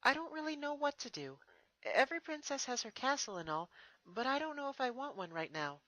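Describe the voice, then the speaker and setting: A reader voicing a gentle young female pony princess in an earnest, expressive fan fiction reading. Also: high-pitched, a little fast, no room sound, voice pushed up, full character voice, rough recording. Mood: unsure, thoughtful.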